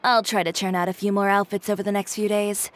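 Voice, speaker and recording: An actress playing a poised, businesslike adult fashion designer with a composed, dry manner. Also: female voice, mid-range voice, flat delivery, quick pace, natural voice. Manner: composed, dry, matter-of-fact. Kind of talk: video game voice acting. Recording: game audio with loud music.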